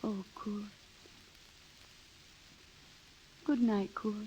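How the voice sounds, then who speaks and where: An actress playing a restless, romantic, defiant American wife in a 1930s radio drama, with theatrical, emotional delivery on a vintage broadcast recording. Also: female voice, mid-range voice, fairly even intonation, unhurried, dark tone, a little hiss or hum, natural voice, poor audio. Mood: tender, wistful.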